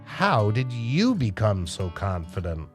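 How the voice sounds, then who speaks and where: A man voicing an earnest young girl in a children's storybook, speaking deliberately. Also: fairly low pitch, huge pitch swings, faint music behind, voice pushed down, full character voice. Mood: curious. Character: earnest young girl gaining self-confidence.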